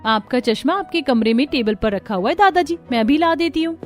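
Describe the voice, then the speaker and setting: A woman's voice: sits high, animated, a little fast. A woman voicing a chatty, self-assured young daughter-in-law in a Hindi cartoon family story, speaking expressively. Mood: helpful.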